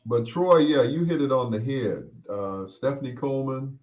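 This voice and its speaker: A middle-aged man hosting a casual show, chatting and reminiscing in a rambling way, often pausing and repeating himself as he replies to listeners. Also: mid-range voice, darkish tone. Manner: unhurried, rambling, with pauses and repeats. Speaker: host of a bedtime stories show. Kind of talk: casual chat, reminiscing and replying to listener comments.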